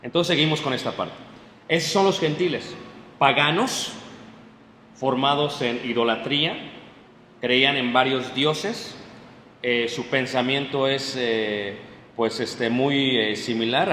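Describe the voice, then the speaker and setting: A man preaching a lively sermon to his congregation, often stopping to check they follow. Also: medium pitch, measured pace. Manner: animated, addresses the congregation directly with frequent checks. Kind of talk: sermon, Bible class. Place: church hall. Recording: echoey hall, faint room noise.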